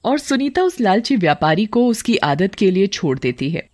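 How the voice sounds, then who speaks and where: A woman's voice: mid-range voice, sing-song, normal speed, darkish tone, dry recording. A woman narrating a scripted moral tale as storyteller.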